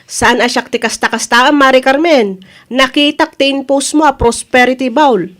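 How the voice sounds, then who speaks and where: An indignant wife with an Ilocano accent and a high voice, acted by a woman. She nags and presses about money owed to her, in comedy radio drama style. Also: fairly even intonation, moderate pace, a bit bright. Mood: scolding. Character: indignant nagging wife chasing an unpaid debt.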